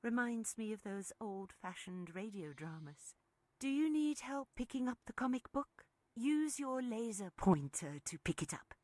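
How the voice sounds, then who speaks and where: Lively, chatty quirky woman. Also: on the high side, unhurried, no echo. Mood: helpful, chatty. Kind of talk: video game character acting.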